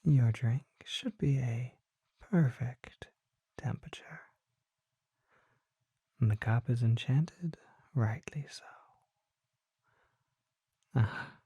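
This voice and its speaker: Courteous ageless vampire collector, played by an actor for ASMR roleplay: very breathy whisper, slow and genteel. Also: mid-range voice, warm tone, dry recording, exaggerated voice. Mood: genteel, pleased. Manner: slow, genteel, formal phrasing. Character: courteous, curious old vampire collector and magic shopkeeper. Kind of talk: ASMR roleplay, soft intimate monologue.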